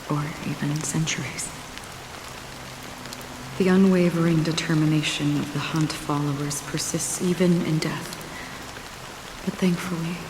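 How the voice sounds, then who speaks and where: A woman voicing a composed, solemn young woman in a video game, speaking in a deliberate, softly grave manner. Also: deep voice, noisy background. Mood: calm, mournful. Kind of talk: video game voice acting, measured dramatic dialogue. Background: background music.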